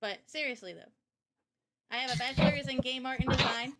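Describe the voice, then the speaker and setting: A woman's voice: high-pitched, brisk, very noisy. A young woman presenting casually on a livestream, chatty, informal and enthusiastic, like a developer showing off a project.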